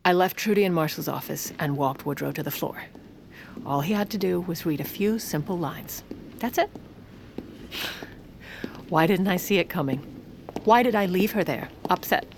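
A woman playing a poised, commanding First Lady in an audio drama, sharp-tongued, motherly and persuasive. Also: fairly low pitch, moderate pace, gravelly, pauses often, background noise. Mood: wry, dramatic. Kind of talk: audio drama acting with first-person narration.

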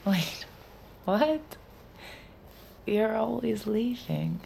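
A woman's voice: medium pitch, animated, very slow, gravelly, warm tone, slight background noise, heavily acted. An actress playing a caring, anxious young girlfriend in a naturalistic, intimate audio drama, tender and tentative. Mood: worried, pleading.

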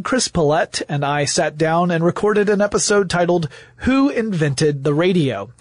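A man's voice: fairly high pitch, no echo. An American man hosting a podcast in a chatty, wordy, conversational way with a playfully grand turn of phrase. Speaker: podcast host.

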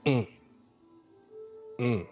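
An actor playing a goofy, eager young male delinquent in a comic audio drama, excitable and bantering. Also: extremely slow, faint music behind. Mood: playful banter. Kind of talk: comic audio fiction acting.

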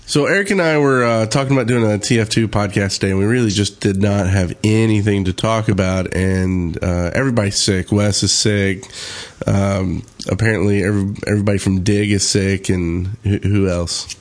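Low-pitched man chatting on a podcast. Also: fairly even intonation, normal speed, few pauses. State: sick, on cold medicine. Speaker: podcast host. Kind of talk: casual group chat about video games. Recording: online voice call podcast.

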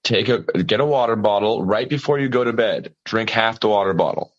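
A man's voice: on the low side, a little fast, muffled recording. A male singer talking casually and earnestly about voice care, often restarting his sentences as he gives practical advice. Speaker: singer, guest. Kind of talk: casual conversation.

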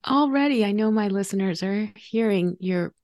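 A woman's voice: mid-range voice, normal speed, darkish tone, dry recording, clean studio sound. A woman hosting a podcast, asking questions with enthusiasm. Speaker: podcast host.

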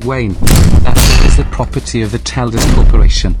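A man's voice: mid-range voice, fairly quick, loud music behind the voice, natural voice. Formal, impassive male AI played by an actor. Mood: firm, warning. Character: polite, unyielding male artificial intelligence running a station. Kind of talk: audio drama acting.

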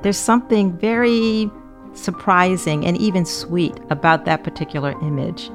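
Woman, very low pitch, gravelly creak, podcast host, scripted narration and interviewing. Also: steady tone, normal speed, soft background music.

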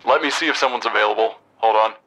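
An actor playing a brisk, indifferent police switchboard operator, clipped and impersonal, in an audio drama. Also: on the low side, pushed and bright, slight background noise, poor audio.

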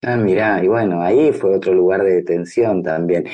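Woman in her 50s, rioplatense accent, soft dark husky voice, thinking out loud about books in an interview. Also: extremely low pitch, normal speed, dull audio. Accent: Rioplatense Spanish. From grew up in Brazil. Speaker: interviewed novelist. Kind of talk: literary interview, reflective conversation.

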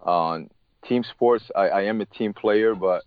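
A man, a tennis coach being interviewed, speaking haltingly and often restarting his words. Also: lowish voice, dry recording, phone-quality sound. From Morocco. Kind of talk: casual interview talk.

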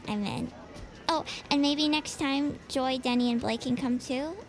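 Teen girl in an audio drama, eager and earnest, high pitched. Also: unhurried, background noise. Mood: excited. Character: eager, earnest teenage girl, devoted movie fan. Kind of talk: naturalistic audio drama dialogue.

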